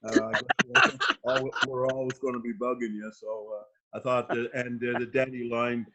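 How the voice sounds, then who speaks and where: A man answering informally on an online panel in a halting, wry way, with slightly dull call audio. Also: mid-range voice, level tone, heavy background noise. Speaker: panel participant.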